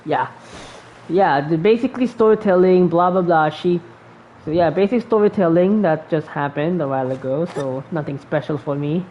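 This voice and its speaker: A woman's voice: lowish voice, normal speed. A woman with a Filipino accent giving casual, rambling reaction commentary as host of a gaming video. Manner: rambling, informal. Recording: muffled mic with faint noise. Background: faint noise.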